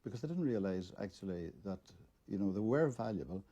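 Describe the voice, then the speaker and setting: A middle-aged Northern Irish man from Derry speaks in a low, very mellow and dark voice with a husky edge. He talks off the cuff as an interviewee, halting as he searches for words. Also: expressive, highs cut off. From Derry, Northern Ireland. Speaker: interviewee, local photo archivist. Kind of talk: unscripted interview recollection.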